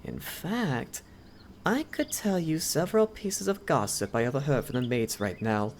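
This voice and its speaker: A woman acts out a loyal, courteous head butler serving a noble lady in an ASMR roleplay. His voice sits low and moves expressively up and down, with formal, deferential phrasing. Faint insect ambience runs behind it. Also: lively intonation, unhurried, slight background noise, full character voice. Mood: earnest, loyal. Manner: polite, deferential, formal servant speech. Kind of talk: ASMR roleplay, scripted character acting.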